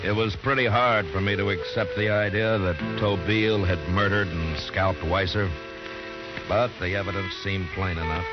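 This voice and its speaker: An actor playing a stern, steady frontier marshal in an old-time radio western, speaking in terse, grave, measured lines. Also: male voice, sits low, close to an everyday voice. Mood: somber. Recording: dull old radio recording, loud music.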